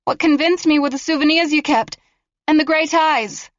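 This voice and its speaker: A frightened teenage girl stands her ground, voiced by a woman reading a horror story. Her voice is high, shrill and strained with urgency. The recording is dull and lo-fi, with a dry sound. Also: fairly even intonation, moderate pace, no echo, natural voice. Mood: defiant.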